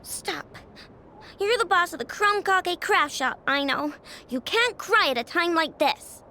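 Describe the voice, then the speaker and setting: Game character, young girl inventor: earnest, childlike, plaintive, high voice. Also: animated, a little slow, pushed and bright, slight background noise, exaggerated voice. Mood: fighting tears. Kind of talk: video game voice acting.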